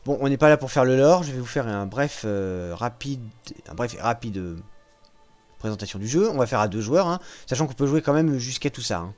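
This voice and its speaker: A man casually walking viewers through a strategy video game, joking at his own expense and pausing often with fillers. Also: medium pitch, expressive, darkish tone, soft background music. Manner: self-mocking, hesitant with frequent fillers. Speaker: gaming channel host. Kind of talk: casual gameplay walkthrough.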